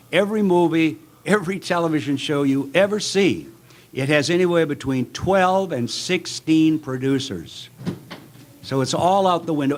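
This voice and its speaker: An older American man talking on an informal panel in an emphatic, lamenting way. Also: on the high side. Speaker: panel participant. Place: indoor convention panel room. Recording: clearly noisy convention room recording.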